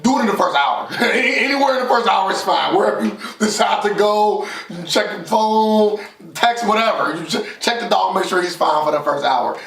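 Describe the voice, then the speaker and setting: Gravelly-voiced man reviewing films, roomy echo. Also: high voice, brisk, few pauses, fairly bright tone. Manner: emphatic, repeats phrases for stress. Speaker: film reviewer and co-host. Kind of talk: casual opinionated movie review chat. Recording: roomy echo, distant from mic.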